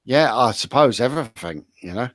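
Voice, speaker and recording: A man chatting casually in a halting way as a guest on a show. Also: medium pitch, animated, fairly bright tone, dry recording. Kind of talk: casual chat.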